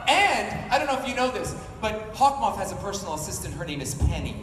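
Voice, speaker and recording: A man's voice: high-pitched, sing-song, fairly quick, very noisy. A middle-aged man hosting a live fan convention panel in a playful, joking, showman-like way. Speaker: panel host. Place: large indoor convention hall, recorded from the audience. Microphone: handheld PA microphone, picked up by a distant camera. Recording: echoey convention hall from far off, loud crowd.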